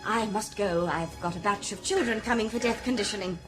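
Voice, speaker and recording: An actress playing a prim, officious hospital nurse in a radio drama, brisk and bossy. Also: female voice, medium pitch, close to an everyday voice. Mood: breezy. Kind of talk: 1990s radio drama acting. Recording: radio drama with music underneath.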